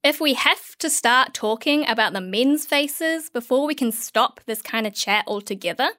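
A woman's voice: on the high side, lively intonation, moderate pace, bright, forceful tone, no echo, studio quality. A woman in her late twenties with an Australian accent, reading a scripted opinion piece she wrote. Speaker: writer reading own article.

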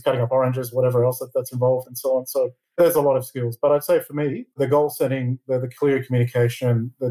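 A middle-aged man born in Iran who speaks answers interview questions in a rapid, level voice. His tone is mellow and dark. He is measured and often repeats a word as he starts a phrase. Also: mid-range voice, poor audio. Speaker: interview guest, lawyer and board chair.

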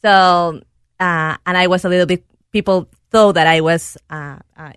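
A woman's voice: on the low side, fairly even intonation, moderate pace, clear, resonant tone, no room sound, clean studio sound. A woman from Peru, a talk-show guest telling her story earnestly and sometimes searching for words in English. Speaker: guest, program director. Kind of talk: casual radio talk-show conversation.

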